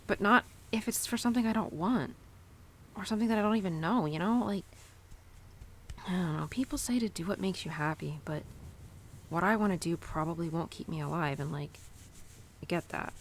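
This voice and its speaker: A woman's voice: on the low side, a little fast, long pauses, slight background noise, natural voice. A woman playing a young, animal-loving cowgirl who confides tenderly in her horse in an intimate, casual, rambling audio roleplay. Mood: worried, earnest. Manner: casual, rambling, sighing and giggly.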